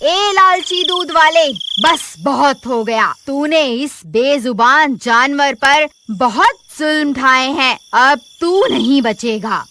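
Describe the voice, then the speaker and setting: A woman's voice: high-pitched, lively intonation, takes it slowly, hardly any pauses, noisy background. A woman voicing a vengeful witch spirit in a cartoon horror story, menacing, commanding and declamatory. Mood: angry, menacing. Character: vengeful female witch spirit, stern judge of the greedy. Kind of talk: melodramatic cartoon horror acting.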